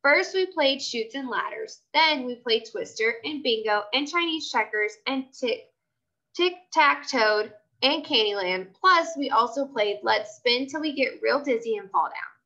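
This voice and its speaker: A woman reads a children's story aloud in a high, bright voice that swings freely up and down. Her delivery is childlike and expressive, and the recording is slightly dull. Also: measured pace.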